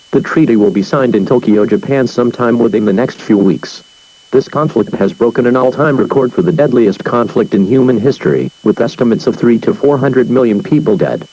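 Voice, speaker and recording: A man playing a government emergency broadcast announcer, reading a scripted message in an official, impersonal, husky voice. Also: mid-range voice, mellow, rough recording.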